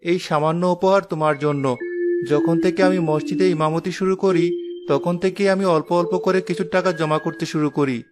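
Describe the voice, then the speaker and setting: A man's voice: fairly high pitch, fairly even intonation, brisk, close to an everyday voice. A man voicing a humble, pious young village imam in a cartoon story. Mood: humble, tender. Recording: music behind the voice.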